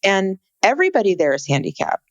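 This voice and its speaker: A woman's voice: mid-range voice, huge pitch swings, fast, dry recording. A woman co-hosting a casual podcast, earnestly explaining background and retelling what she saw. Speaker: podcast co-host. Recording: dull, dry, slightly lo-fi mic.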